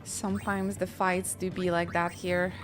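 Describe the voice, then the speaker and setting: A woman's voice: fairly low pitch, flat delivery, a little slow. A woman live-streaming a video game, chatting casually and reacting with playful exclamations. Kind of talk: casual chat and reactions during gameplay. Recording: game music behind the voice.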